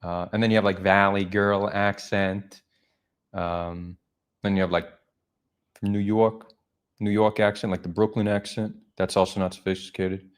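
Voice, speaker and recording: A man's voice: lowish voice, little pitch movement, moderate pace, clean tone. A man hosting a casual livestream chat, talking loosely with lots of fillers and restarts. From United States. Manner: rambling, many fillers and restarts. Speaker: livestream host.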